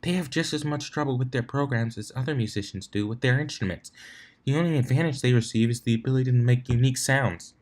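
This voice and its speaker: A man voicing a gentle, shy young female pegasus pony in a soft-spoken, meek manner. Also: medium pitch, quick pace, natural voice. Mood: helpful.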